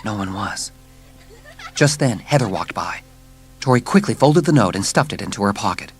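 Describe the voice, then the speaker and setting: A man's voice: fairly low pitch, animated, moderate pace, breathy edge, faint music behind. A man narrating a scripted children's story as an audiobook narrator.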